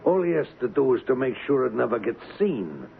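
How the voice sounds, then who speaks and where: Gravelly, cocky street-tough gangster. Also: male voice, mid-range voice, sing-song, brisk, narrow, tinny audio. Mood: cocky, menacing. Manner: slangy, taunting, rough grammar. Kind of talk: old-time radio crime drama acting. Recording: thin, band-limited, hissy old radio recording.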